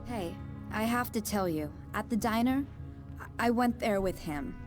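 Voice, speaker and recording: A woman's voice: mid-range voice, animated, music in the background, lightly acted. An actress playing a shaken young woman who talks nervously about something she saw. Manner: nervous, rushed.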